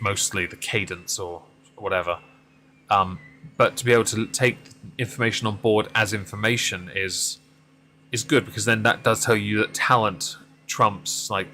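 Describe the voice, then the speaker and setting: A man in his mid-thirties chatting casually with viewers on a driving game stream, rambling and self-deprecating. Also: sharp tone. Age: 30s. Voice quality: deep voice. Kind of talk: casual live-stream chat while driving.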